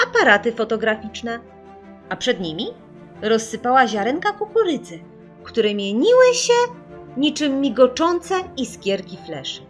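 Female narrator for kids' audiobook: expressive, very varied melody, mid-pitched, dramatic stress on key words. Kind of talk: expressive scripted storytelling for children.

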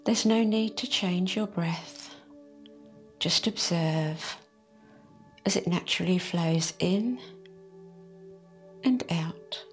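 A woman leading a guided meditation in a soft, soothing, unhurried way. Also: lowish voice. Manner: slow, soft and soothing, drawn-out phrases. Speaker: meditation teacher. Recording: faint music behind the voice.

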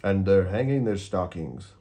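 A man's voice: low-pitched, lively intonation, measured pace, warm tone. A man voicing a sour, scheming old male storybook creature with a sneering, growling manner. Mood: sneering, annoyed. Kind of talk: children's storybook read-aloud.

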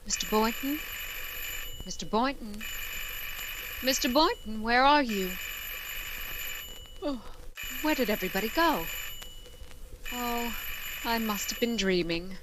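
An actress playing a wry schoolteacher in an old-time radio comedy. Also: female voice, a little high, expressive, slow pace, long pauses, noisy background. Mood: bewildered. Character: wry, dreamy woman schoolteacher. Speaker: lead actress.

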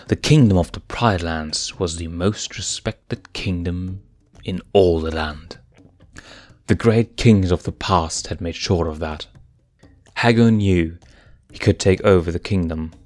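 A man narrating a fan fiction story aloud in an even storytelling manner. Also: low voice, takes it slowly, no echo. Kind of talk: fanfic narration.

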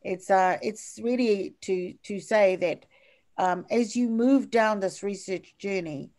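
An older woman with a New Zealand accent giving a lecture over slides on a video call, halting, with many fillers and restarts. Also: mid-range voice, darkish tone.

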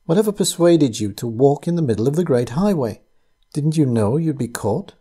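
A man reading aloud, voicing a soft-spoken, teasing young woman who pilots a flying ship in a storybook. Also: medium pitch, expressive, moderate pace, husky. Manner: soft, lilting, playfully condescending.

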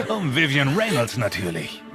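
A man's voice: a little high, heavily acted. An actor playing a gloating, cold-blooded male hired killer, sneering and menacing, in a horror audio drama.

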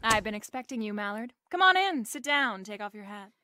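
A woman's voice: a little high, sing-song, a little fast, noisy background. A woman playing a wisecracking, flirtatious private eye, narrating a noir radio drama in a wry, playful way. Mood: breezy.

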